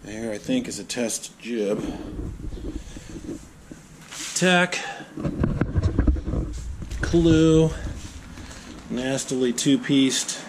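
A man's voice: mid-range voice, lively intonation, drawn-out words, long pauses, noisy background. A man in his fifties building and rigging a small sailboat, thinking aloud in short, musing phrases as he works. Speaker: boat builder. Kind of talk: talking to himself while working. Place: outdoors in a driveway and on a boat on a lake. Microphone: action camera on the boat.

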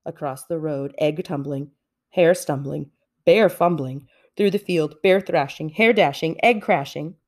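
A woman reading a children's story aloud with expressive narration. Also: deep voice, a little slow. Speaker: storybook reader.

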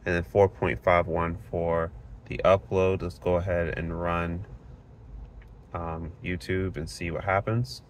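A man's voice: sits low, little pitch movement, measured pace, a little hiss or hum. A man sitting in a parked car, recording with a handheld phone, casually talking through network speed tests and reading results off the screen. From Florida, United States. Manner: plain and explanatory, reads numbers off the screen. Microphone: handheld phone camera.

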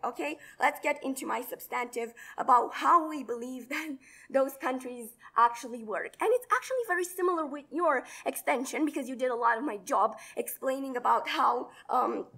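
A young woman from Romania, delivering a rapid, combative debate speech full of rhetorical questions in a large hall, heard from a far camera. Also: a little high, a bit bright, breathy edge. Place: large indoor conference hall.

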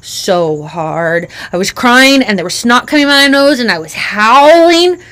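A woman's voice: on the high side, animated, measured pace, a bit bright, clean tone, pitched above the performer's own voice. Woman doing an eager five-year-old girl. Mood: dramatic. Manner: breathless, eager, tumbling run-on sentences. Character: excited little girl of five, telling her fall.

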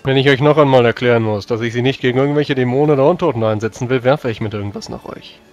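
A man's voice: medium pitch, very fast, lo-fi sound, natural voice. A man playing a blunt, impatient commander in a fantasy tabletop roleplay, speaking curtly and giving orders. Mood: irritated. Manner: curt, commanding. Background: background music.

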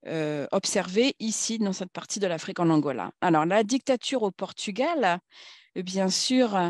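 A woman speaking at a conference in a formal political talk, halting and searching for words as she goes. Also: mid-range voice, lively intonation, darkish tone, no room sound, studio quality.

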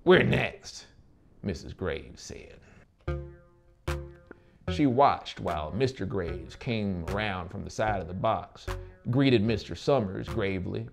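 A man's voice: low-pitched, expressive, slow pace, vocal fry, pauses often. A man in his thirties narrating an audiobook story in a dramatic style. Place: small dark indoor studio. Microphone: lapel microphone. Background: music.

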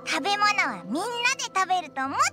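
A woman voicing a tiny, cheerful childlike fairy companion in an anime game, squeaky and boastful, with boyish casual speech. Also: very animated, fast. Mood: cheerful, instructive. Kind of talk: anime game character acting. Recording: game music behind the voice.

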